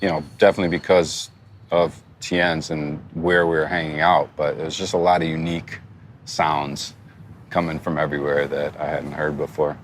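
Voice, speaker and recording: A man's voice: a bass voice, flat delivery, moderate pace, slight background noise. A man co-hosting a podcast, chatting in an informal, agreeable way. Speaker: podcast co-host. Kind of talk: casual podcast chat.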